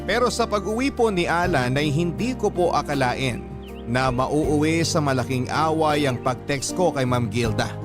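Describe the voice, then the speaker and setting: A man's voice: a little high, expressive, moderate pace. A man with a Filipino accent reading a listener's letter as a radio host, in dramatic scripted narration. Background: music.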